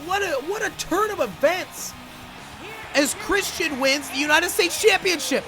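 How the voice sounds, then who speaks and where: A young man doing excitable play-by-play commentary over a wrestling video game, coughing and saying he is losing his voice. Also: very high voice, a little slow, music in the background, rough recording. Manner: excitable, rising to shouts at big moments. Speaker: gaming channel host and commentator.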